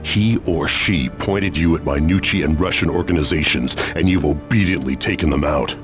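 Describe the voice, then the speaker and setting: A commanding crime lord in a game cutscene. His deep voice is formal and measured, coldly calculating, with loud music behind it. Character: imposing crime boss, commanding calculating adult man. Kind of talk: video game cutscene acting.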